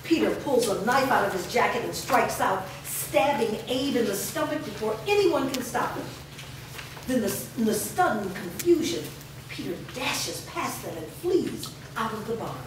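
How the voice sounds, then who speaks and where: A woman's voice: a little high, lively intonation, moderate pace. A woman reading scripted stage directions as narrator at a staged reading. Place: echoey room at a live staged reading. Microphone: distant microphone. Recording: distant, very echoey, noisy room recording.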